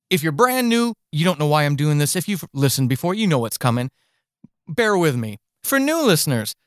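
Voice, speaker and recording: A man's voice: a little high, clear, resonant tone, no echo, studio quality. A man hosting a casual podcast interview, speaking directly to listeners in an encouraging way. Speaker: podcast host and fiction author.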